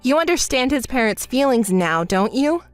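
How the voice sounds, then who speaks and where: A woman voicing a calm, reproachful young ex-wife in a melodramatic story, in a cool, measured, dismissive manner. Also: high-pitched, no room sound, faint music behind. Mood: stern, reproachful.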